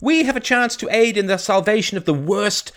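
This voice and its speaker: A man's voice: high-pitched, lively intonation, a little fast, fairly bright tone, voice pushed up. A man voicing a fervent village priest who preaches in an impassioned, rhetorical manner. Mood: fervent preaching. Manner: impassioned, sermonizing, rhetorical questions. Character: fiery preaching village priest, male, middle-aged.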